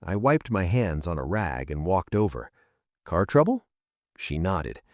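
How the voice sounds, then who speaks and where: A man reading a scripted first-person story in a measured, dramatic way, in short phrases. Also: low-pitched, animated, a little mellow, dry recording, studio quality.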